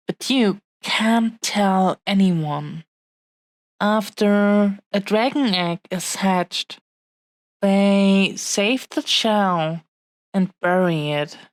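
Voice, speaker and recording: A woman's voice: on the low side, dry recording. A woman voicing an affectionate, possessive dragon-woman fiancée in an intimate romantic audio roleplay, with tender, lingering delivery. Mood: confiding. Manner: tender, unhurried, pausing delivery. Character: affectionate, possessive young dragon-woman fiancée cherishing her beloved treasure.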